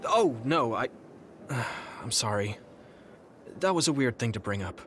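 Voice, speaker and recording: An actor playing an earnest, polite, slightly awkward young soldier in an anime-style video game, speaking hesitantly. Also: male voice, medium pitch, animated, measured pace, fairly bright tone, a little hiss or hum. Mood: hesitant, apologetic.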